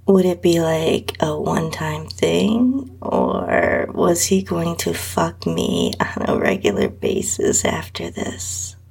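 A woman narrating intimate erotic fiction in a sultry, lingering, breathy voice that often drops to a whisper. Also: fairly low pitch. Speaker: podcast host reading own story. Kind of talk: slow, intimate erotica narration in the first person.

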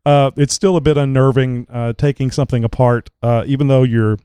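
A man hosting a casual online show talks in a loose, rambling way, stopping often on filler words. His voice is mellow and smooth, though a little crackly as he gets over a cold. The sound is dry and close. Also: mid-range voice, fairly even intonation, fairly quick, no echo. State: recovering from a cold, slight crackle. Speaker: podcast host. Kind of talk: casual chat.

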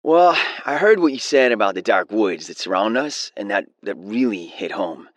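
A man's voice: lowish voice, expressive, moderate pace, no echo. Earnest male radio caller, dry sound. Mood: earnest, eager. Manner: hesitant, earnest, stumbling over words. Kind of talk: naturalistic audio drama acting.

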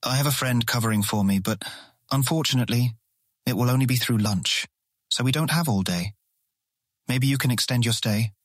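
An older guard, played by a male actor in a fantasy audiobook drama. He is courteous and hopeful, plainly smitten, speaking at a middling pitch in a dry, close recording. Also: normal speed, no echo, close to an everyday voice.

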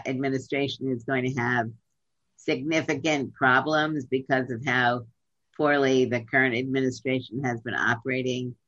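Measured woman on dull lo-fi audio. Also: rumbling low, fairly bright tone, no echo. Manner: measured, deliberate, with frequent pauses and fillers. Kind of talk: remote interview answers.

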